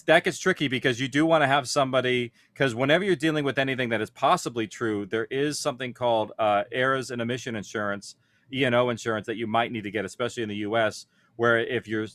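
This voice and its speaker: Male panel speaker, medium pitch, casual explanatory delivery, very dry recording. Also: a little fast, a bit bright, no room sound. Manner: informal, explanatory. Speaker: panelist, audio producer. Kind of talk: conference panel talk.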